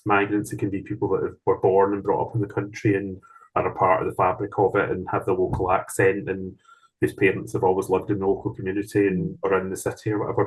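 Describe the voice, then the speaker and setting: A Scottish man speaking as the guest author in an online seminar, thoughtful and measured, with frequent ums and restarts. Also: sits low, breathy edge, low-quality recording. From Scotland. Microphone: video call.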